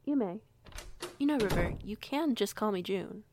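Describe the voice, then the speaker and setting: A woman playing a courteous, gently authoritative werewolf pack leader in an audio roleplay, with formal, measured wording. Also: mid-range voice, lively intonation, dry recording, natural voice.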